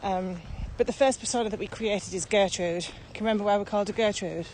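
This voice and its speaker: A woman's voice: medium pitch, quick pace, darkish tone, poor audio. Woman chatting outdoors over ambient noise. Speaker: podcast co-host. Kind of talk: casual walking podcast chat. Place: walking outdoors across open fields.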